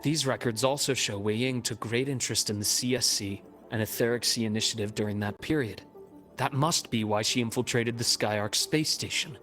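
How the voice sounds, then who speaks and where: A man voicing a composed, analytical investigator in a game, speaking in a measured, explanatory way. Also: mid-range voice, a bit bright, faint music behind, natural voice. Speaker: game character. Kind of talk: game cutscene voice acting.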